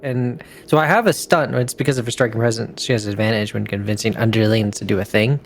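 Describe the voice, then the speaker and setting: A man's voice: on the low side, fairly even intonation, fast talker, gravelly, a little hiss or hum. A man playing a tabletop role-playing game, talking casually through his moves.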